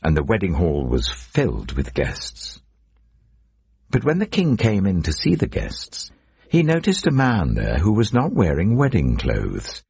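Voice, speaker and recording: A man narrates scripture in an extremely low voice, full of rasp and captured very dry. He tells it as dramatic storytelling, leaning on key words with emphatic stresses. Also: huge pitch swings, unhurried, a little mellow, no room sound.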